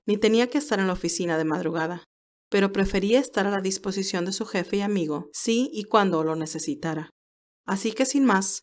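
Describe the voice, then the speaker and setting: A woman reading scripted narration for a romantic audio story as its narrator. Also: mid-range voice.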